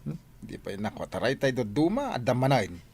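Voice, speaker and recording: Mid-pitched, teasing male buddy played by an actor. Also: sing-song, normal speed, a little mellow, husky. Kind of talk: radio drama acting.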